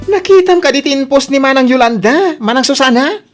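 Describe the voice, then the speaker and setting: A woman's voice: high voice, lively intonation, fairly quick, soft background music. A woman acting an anxious, aggrieved character who complains fretfully in an Ilocano radio comedy drama. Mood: reproachful. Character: anxious, aggrieved woman worried about her reputation.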